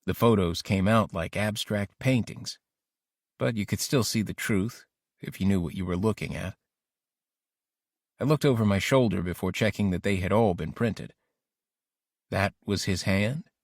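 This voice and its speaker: A man's voice: fairly low pitch, unhurried, pauses often, dry recording, studio quality. A man narrating an audiobook diary as an ambitious, lovesick teenage boy, introspective and intense. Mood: brooding. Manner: introspective, self-questioning, intense.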